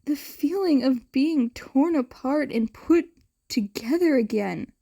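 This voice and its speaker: A woman narrates a story from a script in a fairly high voice. She reads at a slow, measured pace, and her tone is soft-edged and mellow. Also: lively intonation, vocal fry. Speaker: fan fiction narrator.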